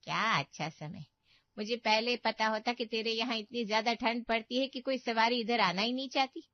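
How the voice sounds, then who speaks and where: A woman voicing a boastful, sharp-tongued older sister in a cartoon, scolding and bragging. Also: a little high, moderate pace, no echo, pitched above the performer's own voice, natural voice. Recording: dull, muffled audio.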